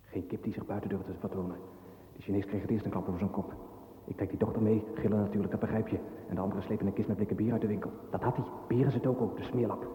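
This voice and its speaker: Actor as a fast, echoing, callous young soldier. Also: male voice, on the low side, steady tone, dark tone, close to an everyday voice. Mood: callous boasting. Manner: matter-of-fact, coarse. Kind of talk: radio drama acting. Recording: long echoing reverb.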